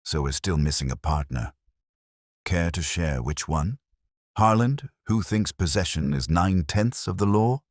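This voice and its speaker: A man reading as a deep-voiced wisecracking sidekick. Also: animated, measured pace, no room sound, clean studio sound. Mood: sardonic, probing. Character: wisecracking, streetwise male detective's assistant. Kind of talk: audiobook character dialogue.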